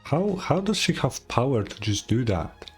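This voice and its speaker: A man's voice: medium pitch, big pitch swings, normal speed. A man giving laid-back, hesitant commentary as he streams a video game playthrough. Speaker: gaming streamer. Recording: faint game music behind.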